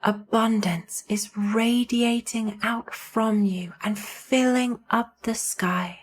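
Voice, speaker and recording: A woman narrating a guided meditation in a soothing, hushed manner, leaving long pauses between short phrases. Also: fairly high pitch, steady tone, slightly rough.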